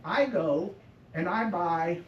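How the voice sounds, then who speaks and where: Slow, emphatic older man in an echoey room. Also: high-pitched, lo-fi sound. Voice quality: loud voice. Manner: slow, emphatic, repeats points. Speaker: former school district employee speaking at a board meet and greet. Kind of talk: public meeting remarks. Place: school district office lobby at a public meeting. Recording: roomy echo, distant mic, faint background noise.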